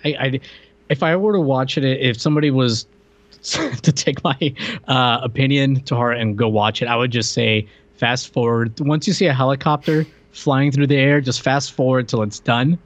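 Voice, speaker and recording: Slightly muffled lofi mic, guy co-hosting a movie podcast, normal pitch, just chatting casually. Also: normal speed, no echo. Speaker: podcast co-host. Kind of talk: casual film review chat. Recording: slightly dull, lo-fi audio.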